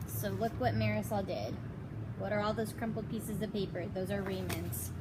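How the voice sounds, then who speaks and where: A woman's voice: mid-range voice, fairly even intonation, moderate pace. A woman who teaches elementary school reads a children's picture book expressively, adding chatty asides and questions to her listeners. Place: outdoors in an alley. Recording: very noisy outdoor alley.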